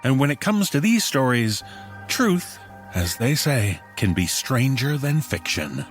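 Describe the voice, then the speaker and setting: A man hosting a horror anthology, narrating from a script in a measured, theatrical way. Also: mid-range voice, very animated. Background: faint music.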